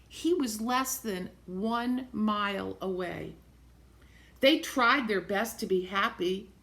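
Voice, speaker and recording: A woman reading a picture book aloud to young children, expressively, pausing to point things out in simple words and repeating phrases. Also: fairly high pitch. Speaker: storybook reader for young children.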